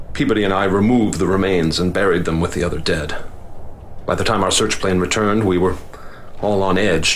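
A middle-aged man plays a professor recounting an expedition in a radio drama. His delivery is deep, slow and grave. A faint hiss sits under the recording. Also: a bit bright. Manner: measured, grave storytelling. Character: male professor leading an expedition, grave and measured. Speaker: narrator. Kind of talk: first-person radio drama narration.

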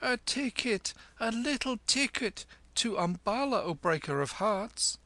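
A man's voice: high-pitched, normal speed, creaky, sharp tone, dry recording, voice pushed up, full character voice. A man doing a teasing young woman. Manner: teasing, lilting.

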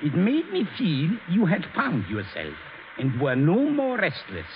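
A man playing a shy, tender professor in a 1940s radio drama, halting and earnest, repeating his words. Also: on the high side, wildly swooping pitch, background noise. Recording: tinny old radio broadcast with music.

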